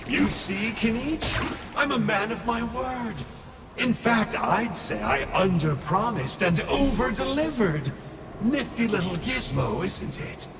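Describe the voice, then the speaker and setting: A man voicing a smooth-talking, playfully villainous schemer in a video game, with a flippant, affected drawl. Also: sits high, animated, slow, in a large hall, far from the mic, over loud music, full character voice. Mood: smug. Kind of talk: video game character acting.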